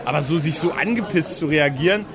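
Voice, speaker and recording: A man's voice: on the high side, a little fast. A man hosting a casual live podcast in a pub, joking and laughing often. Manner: joking, laughs often, puts on mocking voices. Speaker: podcast host. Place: pub with a crowd. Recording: crowd chatter in a pub.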